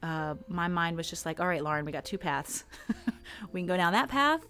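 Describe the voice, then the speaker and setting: A woman hypnotist chatting casually as a podcast guest, with a calm, relaxing voice and frequent laughs. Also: fairly low pitch, moderate pace.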